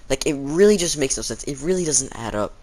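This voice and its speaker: A man's voice: medium pitch, animated, a little fast, darkish tone. Rambling teenage guy telling a story. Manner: rambling, acts out quoted exchanges. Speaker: storytelling vlogger. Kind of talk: casual storytime chat.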